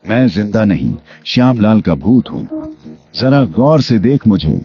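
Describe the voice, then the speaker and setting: Man acting a deep-voiced, commanding ghost of a headman. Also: sing-song, a little slow. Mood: menacing. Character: ghost of a murdered village headman, stern, righteous, avenging. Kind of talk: Hindi animated moral story acting. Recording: dull lo-fi audio. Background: music.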